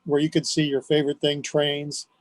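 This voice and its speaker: An older man reminisces as an interview guest. His voice is a little high for a man, and the sound is dry, with almost no room to it. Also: monotone, normal speed, no room sound.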